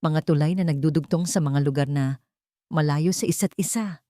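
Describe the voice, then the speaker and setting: A woman's voice: sits low, moderate pace, dark tone, dry recording, clean studio sound, natural voice. A woman voicing a respectful, earnest young male driver in an audio storybook, speaking politely. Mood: wistful. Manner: polite, deferential.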